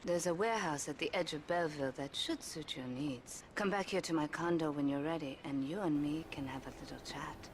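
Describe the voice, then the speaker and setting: A woman's voice: low-pitched, fairly quick, few pauses, slight background noise, close to an everyday voice. An actress playing a cold, commanding Yakuza woman boss who gives curt, dryly seductive orders in a video game cutscene. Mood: cool command.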